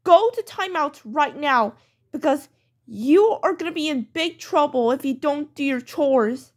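A woman's voice: very high voice, unhurried. A woman playing a strict mother who lays down rules in a firm, scolding way, in a homemade pretend-play skit. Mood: angry.

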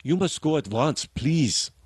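An actor playing an anxious, evasive Viennese porter in a radio drama. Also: male voice, a little high, expressive, measured pace, a little mellow, husky, no echo, studio quality. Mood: anxious, protesting. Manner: nervous, evasive, protesting. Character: anxious Viennese porter, reluctant male witness.

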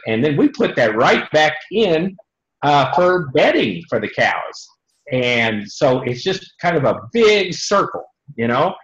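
A man's voice: on the high side, a bit bright. An American man in his sixties with a folksy manner, explaining things simply to schoolchildren as a dairy farmer and often checking in with his listeners. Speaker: dairy farmer and tour guest. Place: trophy-lined office, indoors. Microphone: computer mic on a video call. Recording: muffled, echoey video call audio.

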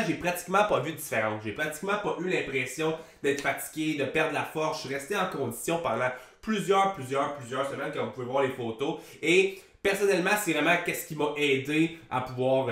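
A young man with a Québécois French accent and a head cold that makes him sound nasal, talking fast and casually to camera as a fitness coach, with the odd swear word. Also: a little high, reverberant. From Quebec. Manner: fast, animated, swears casually, gestures a lot. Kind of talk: casual vlog talk to camera. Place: small room with a plain grey wall. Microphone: large desk mic on a boom arm.

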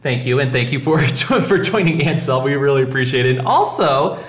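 A man's voice: medium pitch, animated, a little fast, fairly bright tone, roomy sound. A man hosting a casual podcast, chatting in a jokey, self-deprecating way. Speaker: podcast host.

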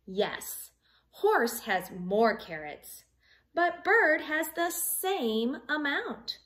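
High-pitched woman reading slowly to children. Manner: expressive, sing-song storytelling with questions addressed to young listeners. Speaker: teacher reading a picture book aloud to children. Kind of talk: children's read-along with simple math questions. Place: living room at home.

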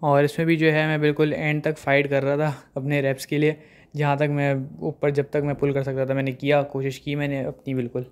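A young man calmly narrating his gym workout as a voice-over, with many fillers. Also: a little high, a little fast, smooth. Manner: steady recap, heavy fillers.

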